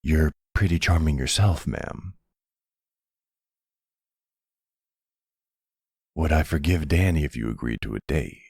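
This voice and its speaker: A man playing a charming middle-aged mafia boss, suave and flirtatious, with a gravelly, husky voice, in an intimate ASMR roleplay. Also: rumbling low, lively intonation, normal speed, a little mellow, dry recording, studio quality, full character voice. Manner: suave, courteous, teasing, uses pet names.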